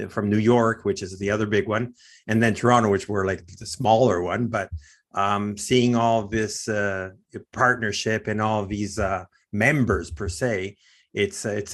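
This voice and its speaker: Low-voiced man chatting, rambling a little. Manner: rambling, repeats words. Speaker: podcast co-host. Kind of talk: casual podcast chat.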